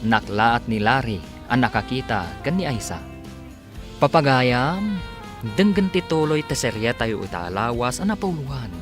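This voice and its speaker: Ilocano radio serial announcer with lively swings. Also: medium pitch, normal speed. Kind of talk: scripted radio serial narration. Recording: music under the voice. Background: music.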